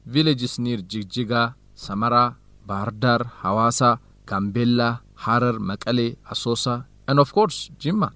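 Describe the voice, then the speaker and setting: Actor for radio drama role: modest, helpful Ethiopian male research assistant, medium pitch, earnest delivery, very dry recording. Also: moderate pace, few pauses, pushed and bright, no echo, close to an everyday voice. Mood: practical, helpful. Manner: polite, earnest. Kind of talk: radio drama acting, measured educational dialogue.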